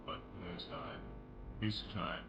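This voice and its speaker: A man voicing a cold, smug elven commander in a fantasy game, taunting and deliberate in his threats. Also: highs cut off, close to an everyday voice. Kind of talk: fantasy game villain monologue. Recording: loud music under the voice.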